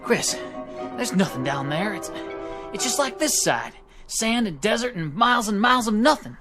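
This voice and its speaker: A doubting pioneer in a radio drama. His questioning voice swings widely in pitch, over clearly audible music. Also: sing-song, moderate pace. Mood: doubtful, disappointed. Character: doubtful, disappointed fellow pioneer on a wagon train.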